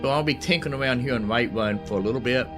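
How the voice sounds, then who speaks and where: A man's voice: medium pitch, rapid-fire. A man hosting a gaming video, giving casual, rambling commentary as he thinks aloud while playing. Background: game music.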